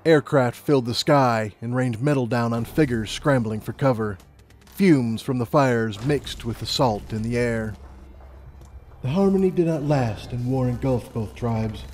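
A man's voice: mid-range voice, darkish tone. A man reading scripted story narration as an audiobook narrator. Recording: long reverb, music underneath.

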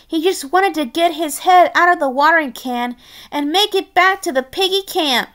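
A woman reading a children's picture book aloud expressively and deliberately, with chatty asides of her own. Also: very high-pitched. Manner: expressive, deliberate, adds playful commentary. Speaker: storybook reader.

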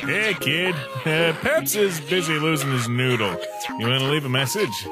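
A man's voice: fairly high pitch, moderate pace, over loud music, lightly acted. A man voicing a laid-back, wisecracking skeleton big brother in a comic dub, with a lazy, casual drawl. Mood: casual, puzzled. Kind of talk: fan comic dub acting.

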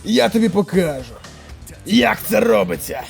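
Gravelly, hot-headed young thug acted by a man. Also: sits high, big pitch swings, normal speed, over loud music, heavily acted. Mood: taunting, grudging. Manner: taunting, aggressive. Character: hot-headed vengeful young male thug, comic-book antagonist. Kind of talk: comic dub acting.

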